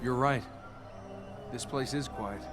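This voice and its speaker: A man's voice: mid-range voice, slow pace, close to an everyday voice. An actor playing a wisecracking young male adventurer in a video game, with a sardonic, quippy, wary manner. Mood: dry, sardonic. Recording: loud music behind the voice.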